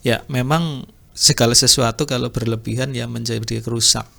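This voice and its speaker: Slow, gravelly man explaining. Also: mid-range voice, steady tone, fairly bright tone. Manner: unhurried explaining with repetition and throat clearing. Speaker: audio repair instructor. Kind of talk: informal spoken tutorial.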